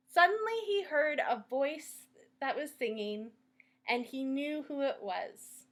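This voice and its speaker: Female storytime host for children: high-pitched, very slow-paced, expressive and deliberate read-aloud delivery.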